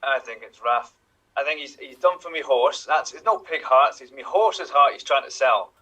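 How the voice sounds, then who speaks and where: A man voicing a blunt male horse owner who speaks in rough dialect. He sounds a little far from the mic. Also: mid-range voice, moderate pace, pushed and bright. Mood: accusing.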